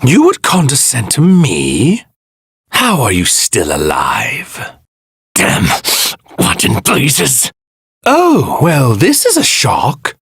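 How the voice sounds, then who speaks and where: A man voicing an arrogant, evil god in a stolen warrior body for an anime game, pompous, theatrical and menacing, with a husky, gravelly voice. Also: medium pitch, huge pitch swings, takes it slowly, a bit bright, no room sound, studio quality, heavily acted. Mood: shocked indignation. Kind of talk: anime video game voice lines.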